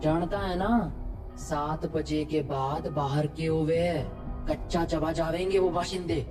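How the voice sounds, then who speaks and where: A woman playing a bossy old village shopkeeper with a rural Haryanvi-Rajasthani accent, sharp-tongued and scolding, in a Hindi horror audio drama. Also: sits low, steady tone, slow pace, far from the mic, over music. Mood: scolding, urgent.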